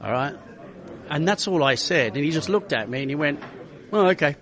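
An animated man with a fairly high voice tells racing stories in a casual interview. He acts out quoted lines as he goes and often stops to restart a phrase. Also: fairly quick. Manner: animated, retells quotes in his own voice, many restarts. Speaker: racing driver guest.

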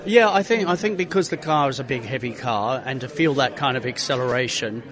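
A man, a racing driver being interviewed, who tells his story in a lively way and acts out quotes with many restarts. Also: medium pitch, a little fast, over music.

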